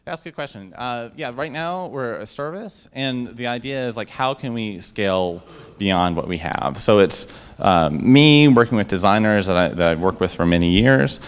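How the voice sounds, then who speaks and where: Enthusiastic man pitching his startup. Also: lively intonation, moderate pace, smooth. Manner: enthusiastic, upbeat salesman patter with frequent restarts. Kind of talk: pitch presentation and audience Q&A. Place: event room before a live audience. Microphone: handheld microphone.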